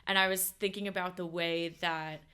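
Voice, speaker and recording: A woman's voice: on the low side, fairly even intonation, moderate pace, bright, forceful tone, clear, resonant tone. A young woman from the United States, a podcast guest in casual chat who rambles with many fillers and corrects herself.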